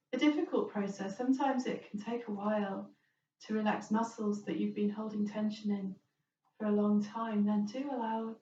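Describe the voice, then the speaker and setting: A woman teaching yoga relaxation, guiding the listener in a gentle, reassuring and permissive way. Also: mid-range voice. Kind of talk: guided relaxation instruction. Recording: roomy, distant, dull, faint noise.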